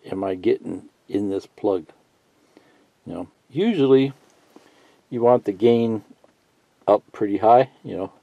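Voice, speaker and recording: A man giving a casual, unscripted how-to tutorial, thinking out loud in a halting, folksy way and reading bits of instructions aloud. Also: fairly low pitch, vocal fry. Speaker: hobbyist instructor. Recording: muffled lo-fi sound, roomy echo.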